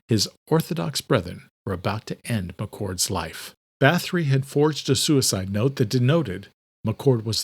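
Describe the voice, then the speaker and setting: A man reads as an audiobook narrator. His voice sits at a medium pitch, and he delivers scripted prose as dramatic storytelling. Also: breathy edge.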